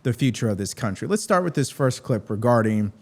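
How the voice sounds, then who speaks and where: A man's voice: medium pitch, lively intonation, brisk, darkish tone. An American man speaking as the host of a political commentary show, addressing viewers directly.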